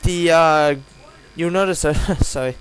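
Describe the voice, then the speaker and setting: A tired man with a croaky voice gives casual, unscripted game commentary, rambling and hesitant as he reviews. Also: on the high side, measured pace. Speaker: gaming video host reviewing a weapon. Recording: home recording with audible hum, background noise.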